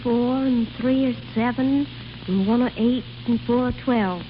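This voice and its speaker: A woman's voice: on the high side, a little slow, close to an everyday voice. An actress playing a breezy, self-assured young salesgirl in a 1930s radio commercial. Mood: cheerful, proud. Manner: breezy, confident. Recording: muffled, hissy old radio broadcast.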